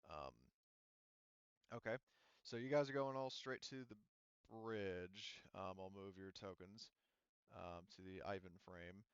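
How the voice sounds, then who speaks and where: A man with a low, clean-toned voice narrates a tabletop game as its game master. He explains in a casual, meandering way. The recording is dry and a little dull. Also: measured pace, pauses often, clear, resonant tone, no echo. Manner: rambling, explanatory.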